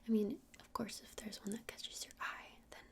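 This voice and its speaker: A woman playing a gentle crystal-shop witch in an ASMR roleplay, whispering breathily and explaining things unhurriedly with hesitant pauses. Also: medium pitch, flat delivery, lightly acted. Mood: soothing, earnest. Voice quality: breathy whisper with creaky edges.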